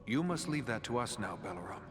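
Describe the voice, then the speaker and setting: An actor playing a steadfast human ranger who leads a band in a fantasy game, speaking formally and earnestly. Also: male voice, fairly low pitch, sing-song, brisk. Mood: concerned. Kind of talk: epic fantasy game voice acting. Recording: long reverb, music behind the voice.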